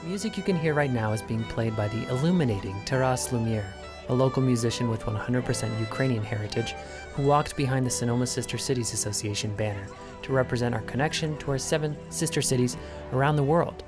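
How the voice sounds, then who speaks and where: A man giving smooth scripted narration that links the interviews of a local podcast. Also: mid-range voice, fast talker. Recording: music underneath.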